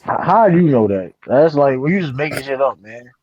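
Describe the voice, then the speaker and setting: Male panelist, mid pitch, teasing repetitive banter, dull lo-fi audio. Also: animated, brisk, slight background noise. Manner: teasing, laughs often, repeats lines. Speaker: livestream panelist. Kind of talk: casual roasting banter.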